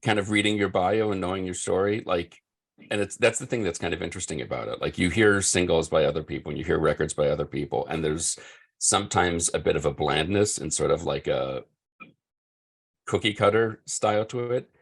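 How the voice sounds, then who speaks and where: Man, podcast host, very deep voice, husky, easygoing, rambling. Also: fairly quick. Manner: easygoing, rambling, with ums and light teasing. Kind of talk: casual interview chat.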